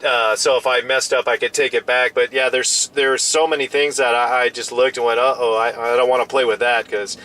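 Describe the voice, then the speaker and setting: Bright-voiced man on radio. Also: mid-range voice, flat delivery, fairly quick, few pauses, low-quality recording. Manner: repeats and restarts phrases often. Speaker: CB radio hobbyist. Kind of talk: casual radio chat.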